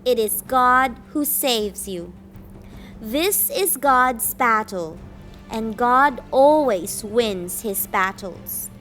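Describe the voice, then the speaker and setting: A brave young shepherd boy, voiced by a woman reading aloud, high-pitched and earnest. He is a small, faithful storybook hero who declares himself slowly and firmly. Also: lively intonation, fairly bright tone, faint music behind, full character voice. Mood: bold, defiant. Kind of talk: children's storybook read-aloud.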